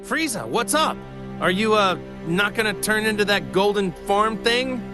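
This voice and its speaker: A man's voice: sits high, expressive, moderate pace. An actor playing a cheerful, naive young male martial-arts hero in a game cutscene, talking in a casual, guileless, earnest way. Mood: casual, rueful. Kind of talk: animated game cutscene acting. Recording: loud music bed under the voice.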